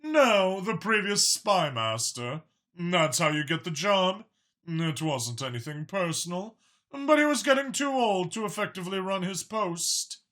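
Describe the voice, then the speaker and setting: Audiobook dragon spymaster, male actor: mild-mannered yet ruthless, formal diction, forceful edge, very animated pitch. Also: measured pace. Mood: nonchalant. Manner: articulate, formal, matter-of-fact about killing. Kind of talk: audiobook character dialogue.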